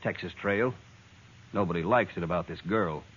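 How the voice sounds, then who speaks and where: An actor playing a blunt, grumbling, reluctant cowtown drinker in an old-time radio western. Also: male voice, sits low, moderate pace, fairly bright tone, a little hiss or hum. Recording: muffled old radio recording.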